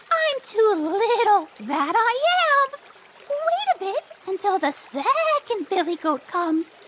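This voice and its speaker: A very high, squeaky little billy goat, childlike and pleading, bouncing all over in pitch. It speaks slowly and carefully, like a storybook character. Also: female voice, fairly bright tone, a little hiss or hum, heavily acted. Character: tiny, brave, clever little billy goat.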